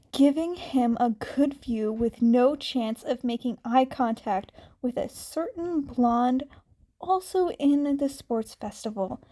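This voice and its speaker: A woman reading fanfiction aloud as a narrator in a soft, unhurried way. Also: on the high side. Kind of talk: amateur audiobook narration.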